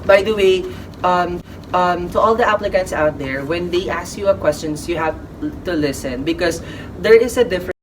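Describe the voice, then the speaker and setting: A woman's voice: on the low side, normal speed. A woman from the Philippines in a casual chat, talkative and earnest, who often says "you know" and "like". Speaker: co-host discussing interview answers. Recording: distant mic with background noise.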